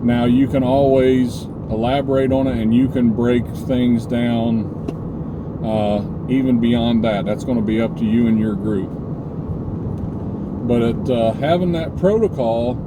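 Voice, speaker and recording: A man giving an informal instructional vlog talk, explaining deliberately and often checking in with the listener. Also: medium pitch, steady tone, very noisy. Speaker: preparedness video host, former law enforcement officer.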